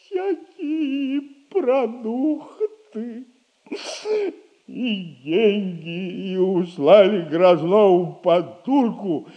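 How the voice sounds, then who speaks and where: A man's voice: high-pitched, dull audio, heavily acted. An actor playing a boastful old retired soldier in a theatrical radio comedy, telling rambling, self-admiring tales in an old man's voice. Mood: boastful, blustering. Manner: drawn-out, rambling, self-admiring storytelling with repeated catchphrase.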